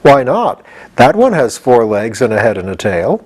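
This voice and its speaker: A man's voice: mid-range voice, moderate pace, slightly rough. A man voicing a cheerful, naive pumpkin-headed wooden man from a storybook, curious and placid. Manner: curious, literal, calm questioning.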